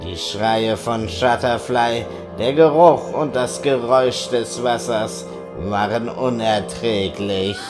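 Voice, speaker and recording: A man's voice: mid-range voice, slow pace, hardly any pauses, close to an everyday voice. A man playing a mischievous trickster creature, telling a spooky campfire tale in a theatrical, suspenseful audio-play style. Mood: ominous. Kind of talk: scripted dramatic storytelling, audio play. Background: background music.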